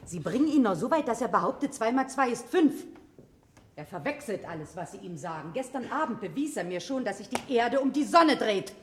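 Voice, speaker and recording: Scolding, high-voiced, practical mother. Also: sing-song, fairly quick. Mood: indignant. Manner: sharp, indignant, sarcastic. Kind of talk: classic German radio play acting. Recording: roomy sound with faint noise.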